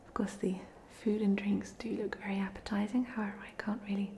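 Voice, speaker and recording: A woman's voice: medium pitch, flat delivery, a little fast, slight background noise, close to an everyday voice. A woman playing a polite, chatty ghost of a drowned student in a whispered, hesitant ASMR roleplay. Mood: amiable, chatty. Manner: whispered, hesitant, gently rambling.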